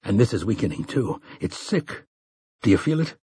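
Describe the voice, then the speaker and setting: A man voicing a grim, weathered male gunslinger who speaks slowly and gravely in an audiobook. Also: sing-song, mellow, no echo, studio quality, full character voice. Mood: urgent. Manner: slow, low and grave.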